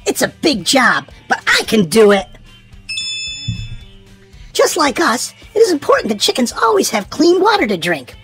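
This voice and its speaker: A woman reads a children's storybook aloud in an expressive, sing-song way, leaning on key words for young listeners. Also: high-pitched, normal speed, pauses often, faint music behind.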